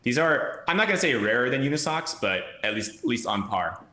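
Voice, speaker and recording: A man's voice: medium pitch, brisk, roomy sound. A man speaking as a guest on a podcast by video call from a room at home, chatting casually with plenty of fillers and restarts. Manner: relaxed, rambling with fillers and restarts. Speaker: podcast guest, crypto investor. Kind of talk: casual chat. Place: home room with brick wall and plant.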